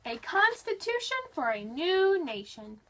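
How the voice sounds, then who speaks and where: A woman giving an expressive, emphatic read-aloud of a scripted history book, with deliberate phrasing. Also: extremely high pitch, a little hiss or hum. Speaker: reader of a nonfiction history book.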